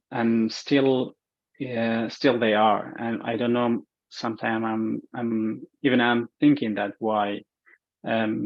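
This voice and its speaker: Halting, slow-spoken man with a flat voice. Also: on the low side, creaky, no room sound, muffled recording. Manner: halting, searching for words, many fillers. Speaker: visual artist. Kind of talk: speaking at an art show.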